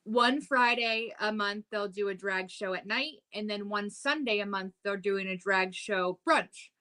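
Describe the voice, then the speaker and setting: Chatty bright-voiced woman in her thirties. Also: medium pitch, measured pace. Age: 30s. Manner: chatty, storytelling with lots of "like" and "um". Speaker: podcast co-host. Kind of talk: casual chat.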